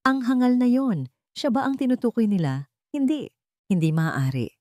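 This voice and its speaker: A woman's voice: a little high, animated, normal speed, darkish tone, no echo, pitched above the performer's own voice, lightly acted. Woman narrator as timid young wife, anxious, wounded, restrained, story drama, dry sound. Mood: anxious denial.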